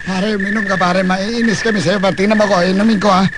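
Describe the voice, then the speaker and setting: A man's voice: high voice, steady tone, fast, noisy background, lightly acted. A man playing a pushy young troublemaker coaxing a friend in a Filipino radio drama, cheeky and slangy.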